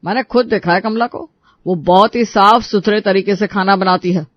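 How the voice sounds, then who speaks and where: A man's voice: sits high, fairly even intonation, moderate pace, no echo, natural voice. A man voicing a placating, reasonable family man in a dramatised household story, on a slightly muffled recording. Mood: firm. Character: reasonable, pleading men of an Indian household.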